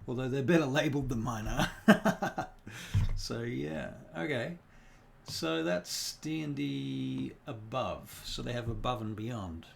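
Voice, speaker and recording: A man's voice: medium pitch, vocal fry, a little hiss or hum. Tired man rambling to himself on stream. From New Zealand. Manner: rambling, thinks aloud, muttering and humming to himself; deliberately speaking in a put-on non-native accent. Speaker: dungeon master and streamer. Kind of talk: casual livestream chat while working.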